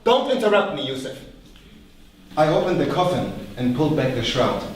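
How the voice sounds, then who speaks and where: A man's voice: a little high, sing-song, moderate pace. A man in a staged play reading, playing an angry man who demands the truth about a killing. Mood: angry, insistent. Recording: echoey hall, distant mic, faint noise.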